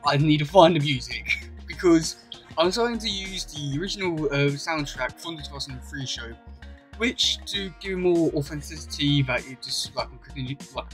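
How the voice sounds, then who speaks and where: A young man with a slightly high-pitched voice chats casually to camera. He rambles with enthusiasm, feeling his way through sentences, over some background music. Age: young adult. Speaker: YouTuber. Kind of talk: casual vlog chat to camera. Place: small indoor room at home. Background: music playing.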